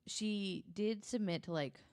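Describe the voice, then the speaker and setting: A woman guest on a podcast, casually recounting a case in conversation, often pausing mid-thought. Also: mid-range voice, darkish tone, clean tone. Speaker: podcast guest telling a true-crime case.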